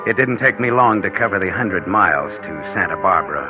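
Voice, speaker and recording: A man's voice: deep voice, lively intonation, a little fast. A man acting a cunning, murderous con man in old radio suspense style, hard-boiled and calculating. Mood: smooth, wry. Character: cunning murderous male con man narrating his crime. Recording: dull vintage radio recording with music.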